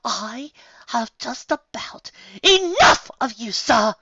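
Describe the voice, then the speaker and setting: A woman's voice: fairly high pitch, sing-song, takes it slowly, dull audio, background noise, voice pushed up, heavily acted. A woman voicing a refined, proud female unicorn in a haughty, theatrical and emphatic manner for a story reading. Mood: furious.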